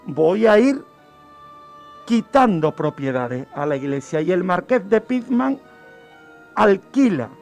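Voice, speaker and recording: A man with a high-pitched voice tells history on the radio. He takes his time, explaining like a teacher and posing questions that he then answers. Faint music plays behind him. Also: sing-song, slow, gravelly, long pauses, darkish tone. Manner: didactic, asks and answers own questions. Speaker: guest criminologist telling crime history. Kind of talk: radio storytelling of historical events. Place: radio studio. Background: background music.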